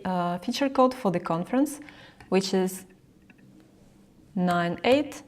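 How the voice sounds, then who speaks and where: Woman narrating a software tutorial. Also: mid-range voice. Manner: explanatory, step-by-step, addressing the viewer. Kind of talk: instructional screen-recording narration.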